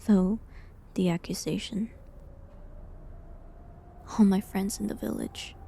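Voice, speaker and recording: Female actor for condemned village healer in audio drama: resigned, soft, halting, mellow tone, faint background noise. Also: mid-range voice, fairly even intonation. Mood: hurt, bitter. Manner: soft, hesitant, breathy delivery with pauses. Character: falsely accused village healer awaiting execution, sad and resigned. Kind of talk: dramatic audio roleplay monologue, medieval setting.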